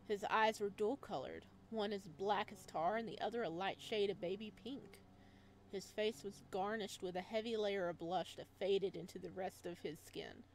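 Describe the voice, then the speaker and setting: A woman doing a let's play, reading story text aloud and adding expressive, chatty reactions in a casual style. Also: medium pitch. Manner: expressive, chatty asides between read passages.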